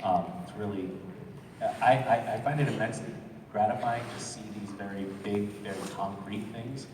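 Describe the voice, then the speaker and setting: A man's voice: on the low side, steady tone, moderate pace, noisy background. A man answering an audience question as a convention panel guest, earnest and reflective with a self-deprecating humor. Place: large convention hall. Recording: echoey hall from far off.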